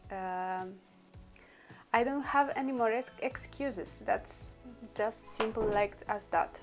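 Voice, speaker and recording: Give me a woman, native Polish speaker, chatting casually with lots of ums and laughs over faint music. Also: medium pitch. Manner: chatty, many fillers, laughs often. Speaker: beauty vlogger. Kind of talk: casual makeup tutorial.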